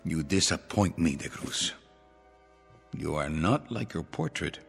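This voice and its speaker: An actor playing a vengeful, proud revolutionary leader in a radio drama, theatrical, deliberate and menacing. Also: male voice, a bass voice, animated, vocal fry, full character voice. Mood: gloating menace. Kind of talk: old-time radio drama acting. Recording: long hall reverb, music underneath. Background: dramatic music.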